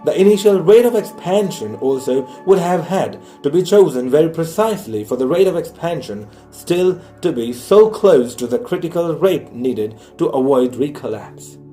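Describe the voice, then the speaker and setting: Lively-pitched, mellow man reading an audiobook. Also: normal speed, hardly any pauses, faint music behind. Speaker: audiobook reader. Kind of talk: scripted narration, reading a science book aloud.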